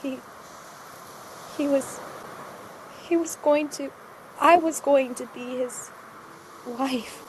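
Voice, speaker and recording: An actress playing an exhausted, frightened young girl in the desert in an audio drama, speaking in halting, plaintive phrases. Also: high voice, fairly even intonation, a little hiss or hum, poor audio. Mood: traumatized, halting. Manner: halting, faltering, plaintive speech. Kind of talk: cinematic audio drama acting.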